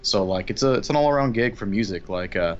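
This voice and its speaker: A man's voice: lowish voice, lively intonation, fast, lo-fi sound. A man chatting casually while playing a co-op game, easygoing and chatty with lots of fillers. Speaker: co-op gaming guest. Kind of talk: casual chat over gameplay. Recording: music playing behind.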